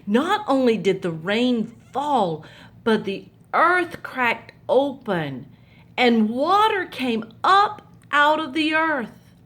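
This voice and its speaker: Woman, children's storyteller, unhurried, slightly high voice, gentle picture-book reading. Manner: slow, gentle, pointing out pictures to young listeners.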